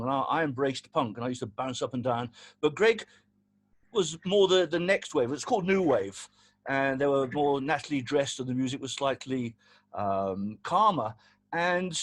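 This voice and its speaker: A man in his sixties from South Africa, giving an informal eulogy at a wake with a big voice and rambling, fond storytelling full of restarts. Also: a little high. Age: 60s.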